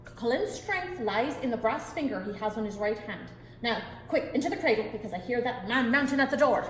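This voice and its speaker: A woman's voice: a little high, expressive, brisk, a little hiss or hum, close to an everyday voice. A woman voicing a sly giant's wife who talks sweetly and coaxingly, heard in a reverberant hall. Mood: urgent. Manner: sugary, coaxing. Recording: roomy hall with long reverb.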